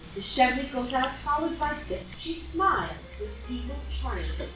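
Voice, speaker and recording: Narator wanita yang ekspresif di ruangan sangat bergema. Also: female voice, high-pitched, sing-song, moderate pace. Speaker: narrator. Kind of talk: dramatic reading of stage directions. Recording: very echoey room, distant mic, music behind.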